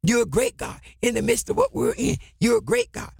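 A man preaching a fervent sermon in a raspy, gravelly voice, exhorting with impassioned, repeated call-and-response phrases that swing into shouts. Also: very high voice, huge pitch swings, brisk, dry recording, studio quality.

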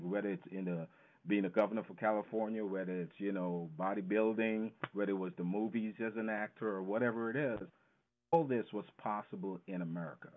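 A man explaining things as an interview guest, emphatic and fond of posing questions that he then answers himself. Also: on the low side. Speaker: financial educator, interview guest. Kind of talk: explanatory radio interview talk. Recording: narrow, tinny phone-line sound.

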